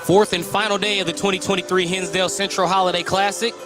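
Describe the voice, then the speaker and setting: High-voiced American man calling basketball play-by-play. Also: flat delivery, fast, over music. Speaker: play-by-play sports announcer. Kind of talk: live radio basketball play-by-play commentary.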